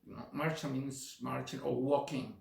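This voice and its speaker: A man's voice: mid-range voice, steady tone, normal speed, gravelly. A middle-aged man from Chile with a Chilean Spanish accent, teaching a percussion lesson with many fillers and counting beats aloud. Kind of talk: relaxed drum lesson. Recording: echoey room with faint noise.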